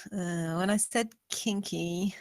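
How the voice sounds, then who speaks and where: A woman's voice: fairly low pitch, slow, a little mellow, slight background noise. A woman playing a teasing, lustful, possessive lover in a film script table read.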